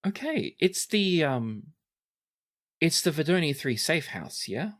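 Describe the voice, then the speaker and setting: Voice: medium pitch, sing-song, slow pace, pitched above the performer's own voice. A tabletop player voicing a reserved, hesitant young princess who speaks with slight formality. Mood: shy, polite. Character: reserved young princess, reluctant, formal, matter-of-fact about war.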